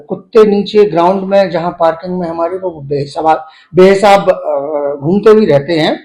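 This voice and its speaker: A middle-aged man explains his grievance in an interview. He is halting yet emphatic, dropping English words into his sentences. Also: high voice, dark tone, low-quality recording. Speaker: medical professor giving an interview.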